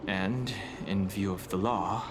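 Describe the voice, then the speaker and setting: A man playing a stoic young exile in a video game, reserved, serious and deliberate in his delivery. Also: low voice, background noise. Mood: somber, reflective. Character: stoic young male exile burdened by his past life. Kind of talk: dramatic video game voice acting. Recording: music behind the voice.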